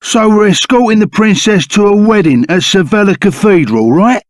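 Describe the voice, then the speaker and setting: An actor playing a rough, loyal, excitable henchman in a video game, with a gravelly voice, a Cockney accent and blunt, chummy, slangy talk. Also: high-pitched, moderate pace, no echo, studio quality, lightly acted. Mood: chummy, casual. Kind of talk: video game character voice acting.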